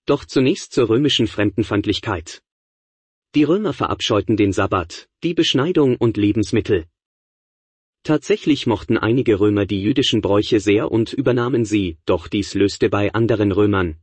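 A man giving a measured, explanatory lecture on early church history. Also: fairly low pitch, a little mellow, breathy edge, no echo.

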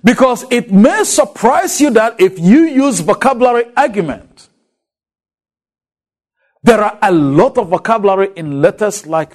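Slow, lively Ghanaian-accented male lecturer. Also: high voice. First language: a Ghanaian language. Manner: deliberate, rhetorical questions. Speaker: Bible lecturer. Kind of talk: teaching lecture.

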